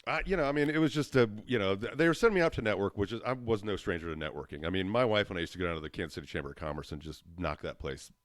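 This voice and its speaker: A man with a loud voice, a podcast guest talking casually and rambling, with lots of "you know" fillers. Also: deep voice, very fast, few pauses, dry recording, clean studio sound. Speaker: podcast guest, web business owner. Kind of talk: casual conversation.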